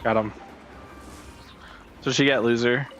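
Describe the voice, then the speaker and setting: A man's voice: medium pitch, fairly even intonation, measured pace, a bit bright, lo-fi sound. A man giving casual gameplay commentary as a streamer, muttering to himself as he plays. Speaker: video game streamer. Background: game music.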